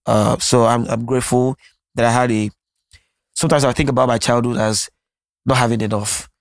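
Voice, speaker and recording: Nigerian man, Yoruba native speaker, earnest musician guest, level even tone, dry close mic. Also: mid-range voice, moderate pace, gravelly, dry recording. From Nigeria (Lagos). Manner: earnest, reflective, frequent restarts. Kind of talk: candid interview conversation.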